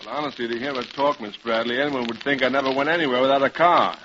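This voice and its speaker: An actor playing a blustering, boastful middle-aged professor and husband in a vintage radio sitcom, bumbling and defensive. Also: male voice, mid-range voice, steady tone, fast, a bit bright, lightly acted. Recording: muffled old radio recording, heavy noise.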